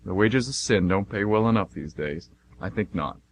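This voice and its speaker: A man's voice: on the low side, animated, normal speed. A man playing God as a smug, jovial, teasing deity in a comedic amateur radio play, breezy and fond of puns. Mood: amused, skeptical. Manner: breezy, self-satisfied, punning. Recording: noisy amateur radio recording with hum.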